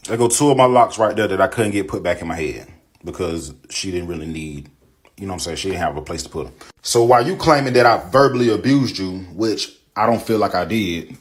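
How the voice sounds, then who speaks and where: Husky-voiced man telling a storytime. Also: mid-range voice. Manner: emphatic, defensive, talks to viewers directly. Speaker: storytime vlogger. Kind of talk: casual storytime to camera.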